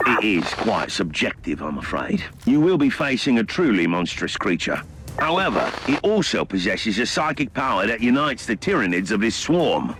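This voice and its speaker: A man voicing a composed military adviser in a video game, formal, measured and understated. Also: lowish voice, big pitch swings, fairly bright tone, poor audio. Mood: grave, explanatory.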